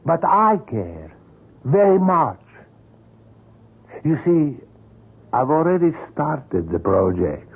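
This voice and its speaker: Old-time radio drama: a courtly, obsessed older sculptor, florid and precise, slightly rough tone, heavily band-limited sound. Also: male voice, on the high side, big pitch swings, slow pace, pauses often, heavily acted. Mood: fervent, wistful. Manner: formal, florid, precise diction. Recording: muffled vintage radio drama recording.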